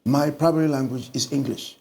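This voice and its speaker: A middle-aged Nigerian man, a pastor talking through a book in an animated conversational way, recorded on a lapel mic in an indoor studio. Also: mid-range voice, a little fast, vocal fry, a little hiss or hum. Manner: animated storytelling with lively gestures. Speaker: pastor and guest.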